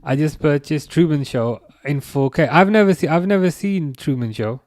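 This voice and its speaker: A man hosting a live watch-along stream, chatting casually with viewers in a relaxed, hesitant way with plenty of fillers. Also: on the high side, moderate pace, no room sound, clean studio sound. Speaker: livestream host reading chat.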